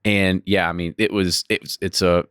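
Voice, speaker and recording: A man's voice: low voice, flat delivery, moderate pace, fairly bright tone, clean tone, no room sound, clean studio sound. A man hosting a podcast interview, talking casually and rambling, often restarting his phrases. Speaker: podcast host.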